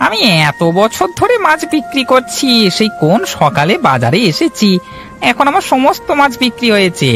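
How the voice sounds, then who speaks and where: A woman's voice: a little high, fairly bright tone. Woman voicing nosy, taunting market fish seller. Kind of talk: Bengali cartoon dialogue.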